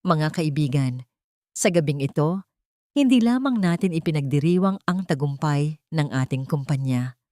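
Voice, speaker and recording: A woman's voice: sits low, expressive, moderate pace, dark tone, dry recording, studio quality. A woman voicing a powerful, corrupt patriarch making a pompous audio drama speech with false emotion and authority. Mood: falsely proud.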